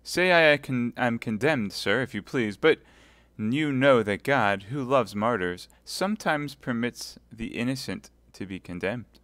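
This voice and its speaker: A man's voice: medium pitch, animated, unhurried, a bit bright, dry recording, voice pushed up. A man reading aloud voices a scheming captive noblewoman who pleads and prays in a tearful, quavering tone. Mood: pleading.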